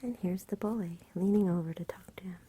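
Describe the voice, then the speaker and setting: A woman reading a story aloud in a gentle, hushed, unhurried ASMR style, mostly in a breathy whisper. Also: fairly low pitch, a little hiss or hum. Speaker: storybook reader. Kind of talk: soft-spoken ASMR bedtime story reading.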